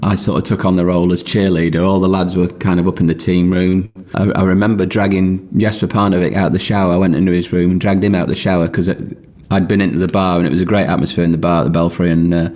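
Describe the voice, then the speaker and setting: A man's voice: sits low, little pitch movement, fast, few pauses, a little mellow. A man telling a chatty anecdote in a recorded clip. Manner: chatty, laughing storyteller. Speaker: interviewee in a played clip.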